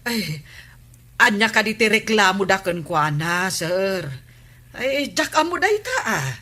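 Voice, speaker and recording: An actress playing a village captain's suspicious, sharp-tongued wife in an Ilocano radio comedy, nagging and probing emphatically. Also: female voice, mid-range voice, sing-song, measured pace, exaggerated voice. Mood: concerned.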